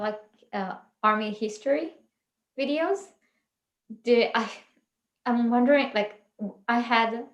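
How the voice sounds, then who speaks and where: A woman's voice: on the high side, reverberant. A woman hosting a casual livestream chat, rambling a little with fillers and restarts. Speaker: channel host.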